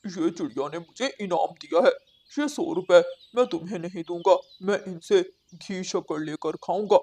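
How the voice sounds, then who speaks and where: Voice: mid-range voice, normal speed, a little mellow, natural voice. An actor voicing a hardworking, polite male crow house painter in a children's cartoon, earnest and reassuring. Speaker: cartoon character voice. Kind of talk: Hindi children's cartoon dialogue.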